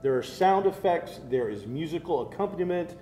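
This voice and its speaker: A man's voice: on the high side, animated, brisk, dark tone. An American man in his thirties co-hosting a geek show, chatting casually and explaining details with enthusiasm, seated indoors at a table. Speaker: co-host of a geek culture show. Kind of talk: casual review chat. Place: medium-sized indoor room, seated at a table. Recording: roomy echo with faint background noise.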